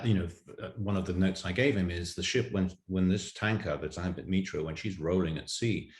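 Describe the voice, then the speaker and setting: A man explaining his production work as a co-director in an interview, precise and detailed. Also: sits low. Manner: detailed, precise, lists examples. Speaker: audio drama writer and co-director. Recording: roomy echo.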